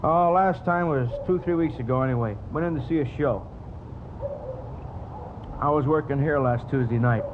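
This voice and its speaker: An actor playing a plain-spoken, obliging American food stand operator in an old radio crime drama, talking in a casual, folksy way. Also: male voice, medium pitch, normal speed, pauses often, close to an everyday voice. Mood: explaining. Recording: tinny, noisy old radio recording.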